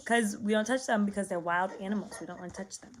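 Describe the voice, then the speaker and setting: A woman's voice: mid-range voice, quick pace. A woman reading a picture book aloud as a lesson for young children, explaining simply, asking questions and repeating words.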